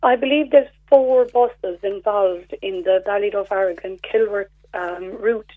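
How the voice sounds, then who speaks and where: A hoarse woman calling in to a radio show, methodically explaining a problem on behalf of a group of parents. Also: medium pitch, unhurried, no room sound. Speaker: caller, parents' representative. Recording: phone line.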